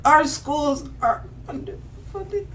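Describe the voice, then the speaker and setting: A woman co-hosting a casual podcast, animated and quick to laugh, with a voice that turns raspy at times. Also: high voice. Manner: animated, exclaiming, laughing often. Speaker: podcast co-host. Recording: distant mic, faint ambient noise.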